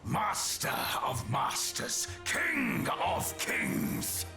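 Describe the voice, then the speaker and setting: An actor playing a menacing, grandiose dragon lord in a fantasy game, with pompous, archaic, commanding speech. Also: male voice, medium pitch, huge pitch swings, extremely slow, gravelly, sharp tone, soft background music, heavily acted. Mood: imperious. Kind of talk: theatrical fantasy game acting.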